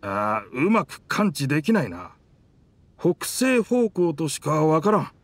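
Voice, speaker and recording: A man voicing an easygoing talking boat in a Japanese anime game, with casual, rough masculine phrasing and a sheepish manner. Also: fairly high pitch, very animated, a little slow, no room sound. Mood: unsure, thinking. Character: talking sentient boat, easygoing, excuse-making male. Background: game music.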